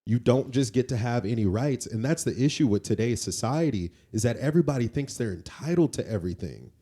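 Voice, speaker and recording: A man's voice: mid-range voice, animated, brisk, warm tone, husky. A man co-hosting a podcast, giving conversational opinions on faith in an emphatic, moralizing way and repeating points for weight. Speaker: podcast co-host.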